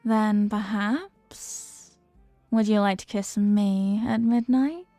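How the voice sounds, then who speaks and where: A woman's voice: medium pitch, takes it slowly, dark tone, no echo, soft background music. A woman playing a flirty, playful stranger at a New Year's party in an intimate audio roleplay, with a coy, teasing, murmuring delivery. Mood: flirty, hopeful.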